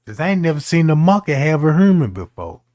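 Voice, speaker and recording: A man's voice: a little high, big pitch swings, fast talker, a little mellow, no room sound, studio quality. A man giving casual commentary as a streamer, talking in a slangy, argumentative way.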